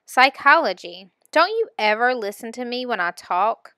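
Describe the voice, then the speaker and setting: Woman acting brisk, bright-voiced no-nonsense mom. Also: on the high side, big pitch swings, no room sound, studio quality. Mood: annoyed. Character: lively, teasing, no-nonsense mother of a teenage girl.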